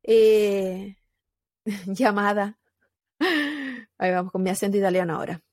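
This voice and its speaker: A woman with a Chilean accent telling a true crime story in casual podcast chat, animated, with fillers and laughs. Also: medium pitch, measured pace, dry recording, studio quality.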